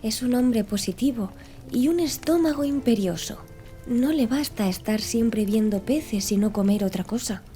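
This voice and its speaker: A woman's voice: a little high, moderate pace, mellow, soft background music, lightly acted. A woman voicing a polite, deferential young manservant in formal, courteous audiobook dialogue.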